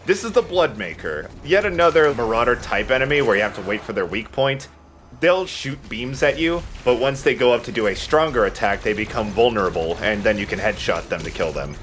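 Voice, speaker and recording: A man's voice: mid-range voice, expressive, fairly quick, fairly bright tone. A man hosting a Let's Play, explaining the game in a casual, informal way with somewhat hesitant phrasing. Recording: faint game noise behind. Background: video game sound.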